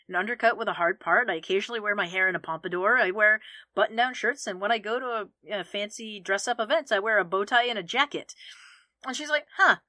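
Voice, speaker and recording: Woman, podcast co-host, bright, dry close mic, candid casual chat, animated storytelling. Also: mid-range voice, dry recording.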